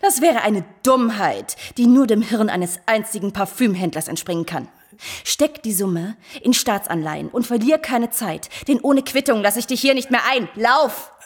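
An actress playing a sly, mercenary and scornful mistress in a German radio drama, mocking and commanding in a theatrical way. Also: female voice, fairly high pitch, moderate pace, lightly acted.

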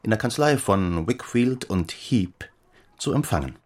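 An actor playing a pompous, indignant, debt-ridden gentleman in a radio play, speaking in a florid, declamatory way. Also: on the low side, expressive, a little slow. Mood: solemn, formal. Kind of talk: German radio play acting. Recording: studio radio drama with music.

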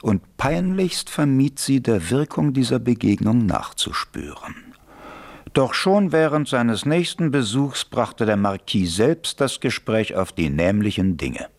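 An actor playing an urbane storyteller, giving polished, expressive literary narration in a radio play. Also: male voice, medium pitch, a little slow. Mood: measured, knowing. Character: urbane male storyteller of an intrigue.

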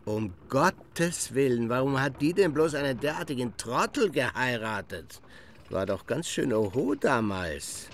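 An actor playing a condescending middle-aged gentleman who gossips and reminisces haltingly in a radio drama. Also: medium pitch, big pitch swings, a little hiss or hum. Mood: scornful.

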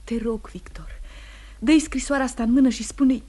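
An actress playing a wounded, anxious young wife in a radio play, breathy and often hushed, earnest and halting. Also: female voice, fairly high pitch, steady tone, lightly acted. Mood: hurt, pleading. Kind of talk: Romanian radio theatre acting.

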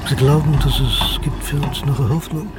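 An actor playing an anxious, bewildered foreign deportee in a German radio drama, questioning in halting, non-native phrasing. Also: male voice, mid-range voice, steady tone, very noisy, natural voice.

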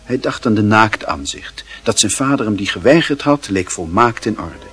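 A man narrating a radio play in a literary style, with measured, dramatic phrasing. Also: lowish voice, lively intonation, fairly bright tone, slightly rough, faint music behind.

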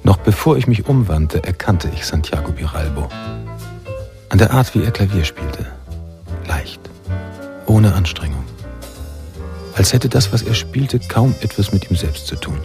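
An actor playing a reflective, nostalgic male narrator in a noir radio drama, speaking in a measured, literary manner. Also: low voice, lively intonation. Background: jazz music.

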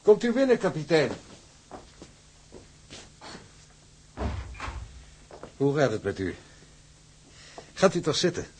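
A man acting in a Dutch radio drama as a polite, smooth-talking but unyielding shipping office manager. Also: fairly high pitch, huge pitch swings, normal speed, a little mellow, a little hiss or hum. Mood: unctuous, courteous. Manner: formal, unctuous courtesy with swooping emphasis. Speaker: actor.

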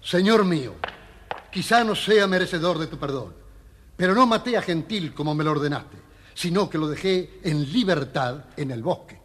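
Casting: storybook palace guard; earnest, devoted, slightly high, husky. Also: male voice, expressive, moderate pace, a bit bright. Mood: contrite. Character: earnest, loyal, kind-hearted royal guard. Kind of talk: children's audio storybook acting.

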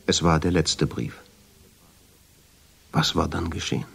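An actor playing a brooding man who narrates a radio drama in the first person, measured and suspenseful. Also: very deep voice, flat delivery, vocal fry, fairly bright tone, full character voice. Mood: sombre. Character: brooding man recounting a mysterious family discovery.

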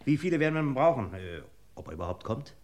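A man's voice: mid-range voice, sing-song, quick pace. An actor playing an eager, hot-headed police assistant, deferential to his chief, in a German radio crime drama. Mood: dutiful.

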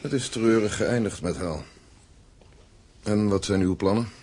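An actor in a radio drama playing a stern, embittered old grandfather who speaks in a formal, measured, blunt way. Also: fairly low pitch, a little mellow, close to an everyday voice. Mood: formal, probing. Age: older.

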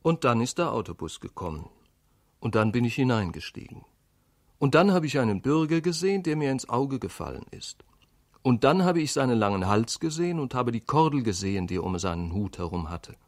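A man's voice: mid-range voice, sing-song, a little fast, a little mellow. Guy doing a radio play monologue, ordinary mid male voice, telling a little story.

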